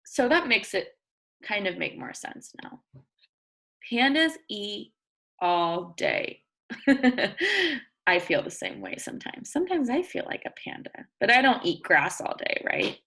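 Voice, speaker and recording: A woman reading a nonfiction book aloud as a teacher of young children, expressive and deliberate, often prompting listeners with questions and thinking out loud in simple explanations. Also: medium pitch.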